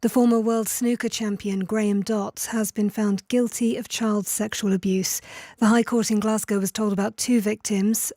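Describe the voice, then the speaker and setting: A woman's voice: medium pitch, warm tone, dry recording. A woman reading a scripted radio news bulletin. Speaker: radio newsreader.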